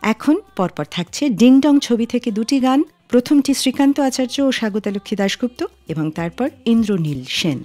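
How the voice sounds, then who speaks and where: A woman's voice: fairly high pitch, animated, brisk, darkish tone, dry recording, faint music behind. A woman hosting a radio show, reading scripted narration. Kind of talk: scripted radio narration about film music.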